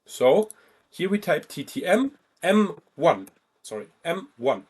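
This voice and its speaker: Man teaching with lively, higher-pitched delivery. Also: slow, slightly rough. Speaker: travel booking software instructor. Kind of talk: step-by-step screen tutorial, informal.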